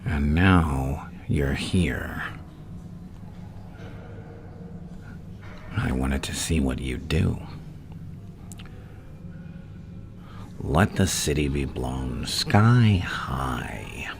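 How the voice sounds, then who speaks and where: A man playing a gleefully menacing, seductive madman villain in an ASMR roleplay, teasing and taunting in a gravelly, breathy voice that often sinks to a whisper. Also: rumbling low, animated, takes it slowly, hardly any pauses, background noise, full character voice. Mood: gloating, teasing.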